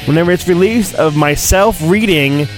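A man hosting a podcast, giving a theatrical mock-dramatic reading of a storybook with drawn-out phrasing and goofy, rambling asides. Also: a little high, faint music behind.

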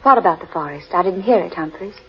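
A woman's voice: lowish voice, lively intonation, fast, slightly rough, exaggerated voice. An actress playing a weary, discontented English lady, speaking expressively in a 1940s radio drama. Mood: curious. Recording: muffled old radio recording.

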